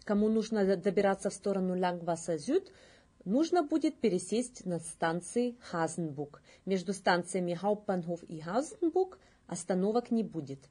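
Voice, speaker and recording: A woman in her thirties reading scripted news as a presenter, with a slightly husky voice. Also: mid-range voice, expressive, normal speed, dark tone.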